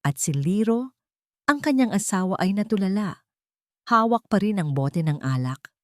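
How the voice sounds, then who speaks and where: A woman narrating a scripted dramatic story in measured, short phrases. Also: mid-range voice, lively intonation, dry recording, clean studio sound.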